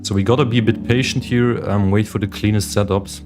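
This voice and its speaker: A man's voice: on the low side, fairly even intonation, a little fast. A young man from Switzerland hosting a casual livestream, chatting with viewers and reading their chat questions aloud. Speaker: livestream host, day trader. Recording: meditation music playing behind the voice.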